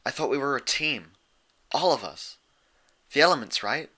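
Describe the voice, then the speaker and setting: A man's voice: medium pitch, expressive, moderate pace, pushed and bright, voice pushed up. A man voicing an earnest, exasperated young female unicorn pony in a storybook reading, insistent and pleading.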